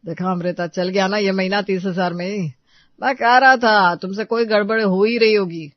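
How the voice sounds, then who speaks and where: A woman's voice: mid-range voice, steady tone, moderate pace, dry recording, highs cut off, natural voice. A woman playing a self-assured, stingy husband who lectures and complains in a domestic moral story. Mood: lecturing. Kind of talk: Hindi moral-story character dialogue.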